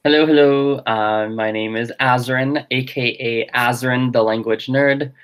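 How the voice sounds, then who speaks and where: Casting note: young male guest, native Gujarati speaker, easygoing, mid-pitched and unhurried, musing out loud. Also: clean tone. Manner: relaxed, thinking aloud with many fillers. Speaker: guest language teacher and podcaster. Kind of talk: casual interview chat.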